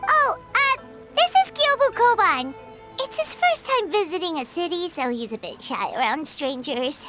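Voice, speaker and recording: A woman voicing an excitable but polite young girl in scripted video game dialogue. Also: very high-pitched, normal speed, soft background music, full character voice. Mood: flustered, curious.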